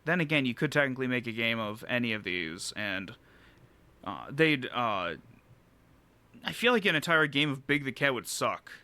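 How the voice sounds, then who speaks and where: A man giving casual, unscripted game commentary as a reviewer, rambling and halting as he thinks aloud and swearing casually. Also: mid-range voice, very animated, sharp tone, clean tone. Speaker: video game reviewer and streamer.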